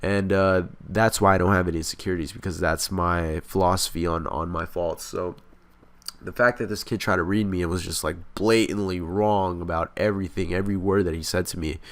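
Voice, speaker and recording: A man's voice: sits low, steady tone, a little fast, no room sound. A congested, sick man talks in casual, rambling, profane gaming commentary, speaking inches from the microphone. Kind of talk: casual commentary over gameplay. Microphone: close mic, inches away.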